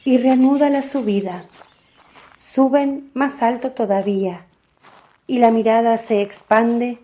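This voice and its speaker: A woman giving a measured, descriptive scripted narration as the narrator of a radio drama. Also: fairly high pitch, dark tone. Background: faint music.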